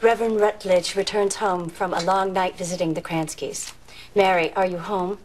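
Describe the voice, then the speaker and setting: A woman's voice: fairly low pitch, steady tone, moderate pace, background noise, close to an everyday voice. An actress playing an obliging female assistant who reads a radio script back plainly in a period drama. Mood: dutiful. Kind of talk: period television drama acting.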